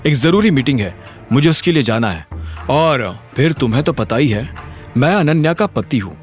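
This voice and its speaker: Evasive young husband for audio drama, read by a male narrator, hurried excuses, lively pitch, music behind. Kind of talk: Hindi audio drama character acting.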